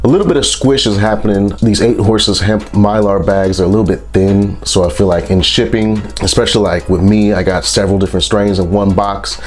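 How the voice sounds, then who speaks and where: A man with a congested, stuffy voice from a sinus infection, reviewing products in a relaxed, rambling vlog. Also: fairly low pitch, brisk, few pauses. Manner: relaxed, rambling, with frequent fillers.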